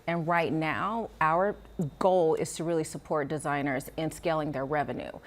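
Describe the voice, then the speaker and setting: Woman executive, TV guest, very low voice, composed and polished. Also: steady tone, moderate pace. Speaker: nonprofit executive director guest. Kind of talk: live TV interview.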